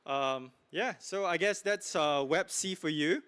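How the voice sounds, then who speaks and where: Pushy male expo keynote pitcher. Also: measured pace, a bit bright, clean tone. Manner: pushy, filler-heavy, repeats "right?". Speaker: keynote speaker. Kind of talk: conference sales pitch. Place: conference hall. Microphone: handheld mic.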